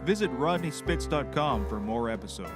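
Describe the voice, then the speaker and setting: A man's voice: mid-range voice, lively intonation, normal speed, over loud music. A man working as an announcer, reading scripted credits.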